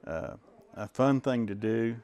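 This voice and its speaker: A man from a community radio theater troupe speaking in an interview, slow and measured with pauses. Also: medium pitch, animated, darkish tone. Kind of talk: casual interview.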